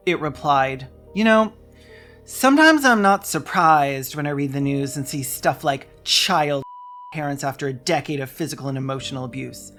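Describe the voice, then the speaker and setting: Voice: mid-range voice, a bit bright. A video essayist narrating a script in a sardonic, theatrical way with dry humour.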